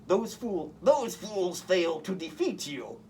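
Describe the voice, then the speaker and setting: A snarling storybook supervillain, acted out by a male reader, spits vengeful threats in a theatrical, menacing way. The voice is pitched up and swings widely, with some noise in the recording. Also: very animated, takes it slowly, dark tone, distant mic, full character voice.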